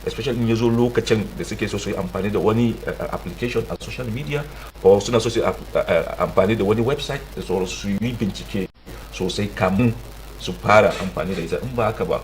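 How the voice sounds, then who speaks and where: A Nigerian man speaking as a radio studio guest in an emphatic, halting way, often repeating words as he explains. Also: on the low side, a little hiss or hum, low-quality recording. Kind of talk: radio interview in Hausa and English.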